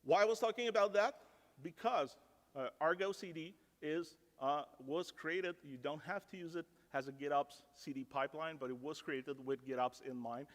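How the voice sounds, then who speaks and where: A man whose first language is French talks through a technical topic at a conference, loose and chatty, full of fillers and asides to the crowd. His voice sits a little high for a man. It rings with the long reverb of a large hall. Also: normal speed. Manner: chatty, many fillers, self-deprecating asides to the audience. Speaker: conference speaker.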